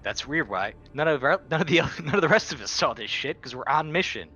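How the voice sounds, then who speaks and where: A man's voice: fairly high pitch, animated, a little fast, sharp tone, dull audio. A man bantering as a tabletop game player, blunt and given to swearing.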